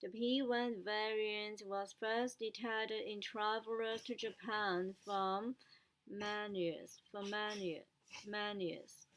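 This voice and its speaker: A woman who is a native Chinese speaker learning English, reading news aloud haltingly and repeating words to practise. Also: medium pitch, fairly even intonation, fairly bright tone, rough recording.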